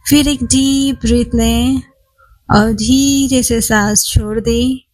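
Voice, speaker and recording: A woman leads a guided body scan meditation as a yoga instructor, calm and soothing, leaving long pauses between phrases. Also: a little high, faint music behind, poor audio.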